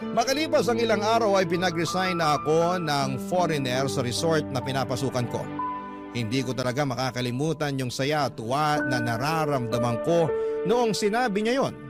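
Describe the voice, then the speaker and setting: Fairly high-voiced Filipino male storyteller over loud music. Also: animated, moderate pace. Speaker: radio host reading a listener's letter. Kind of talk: dramatic first-person story narration.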